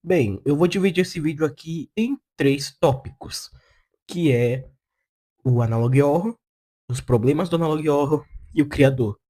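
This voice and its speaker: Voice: mid-range voice, unhurried, dark tone. A person with a Brazilian Portuguese accent giving casual opinion commentary as a video essayist, in a blunt, slangy, sarcastic way.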